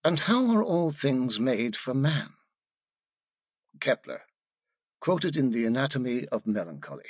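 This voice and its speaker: A man reading an audiobook as a scripted literary narration, in a measured, dramatic delivery. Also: mid-range voice, sing-song, slightly rough, no echo, clean studio sound.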